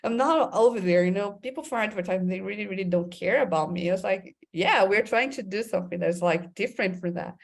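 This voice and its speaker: A woman's voice: medium pitch, fast talker, creaky, hardly any pauses. Brazilian woman talking with many restarts. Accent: Brazilian Portuguese. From Brazil, Amazon region. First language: Portuguese. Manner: thoughtful, many fillers and restarts. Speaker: creative director and club president. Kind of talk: interview guest.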